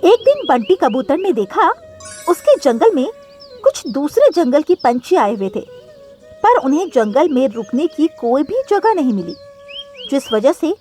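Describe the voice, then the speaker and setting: High, lively woman storyteller. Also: a little slow, faint music behind. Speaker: narrator. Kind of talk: scripted cartoon story narration.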